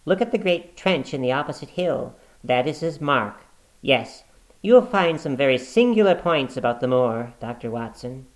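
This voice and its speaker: A man voicing an eccentric, excitable gentleman naturalist who is watchful and wary, in an audiobook reading. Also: mid-range voice, moderate pace, lightly acted, low-quality recording.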